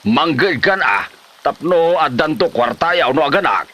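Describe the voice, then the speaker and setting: Guy acting a exasperated older village dad type, comedy drama, bright lively voice, lots of scolding. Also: fairly high pitch, moderate pace. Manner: scolding, exclamatory. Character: older village man, nagging, exasperated father-figure.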